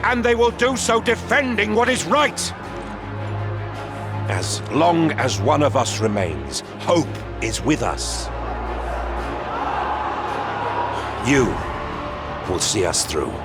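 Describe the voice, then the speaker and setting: An older actor playing a wounded, stern zealot commander, speaking with solemn, grand formality in a game cutscene. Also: male voice, a little high, sing-song, takes it slowly, over loud music. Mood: defiant. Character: old, wounded male zealot leader, stern and unyielding. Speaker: zealot commander of the vault defenders. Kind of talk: video game cutscene voice acting.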